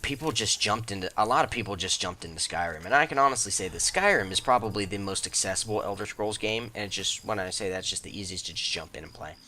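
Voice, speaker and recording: A man's voice: fairly low pitch, fast talker, few pauses, pushed and bright, a little hiss or hum. A young man hosting a let's play, chatting casually and rambling with self-deprecating jokes while he plays. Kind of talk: casual gameplay commentary.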